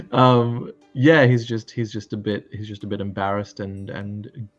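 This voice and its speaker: A man's voice: on the low side, takes it slowly. A man chatting casually as a player in a tabletop role-playing game, hesitant and repeating his phrases. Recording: slightly dull audio, music behind. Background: music.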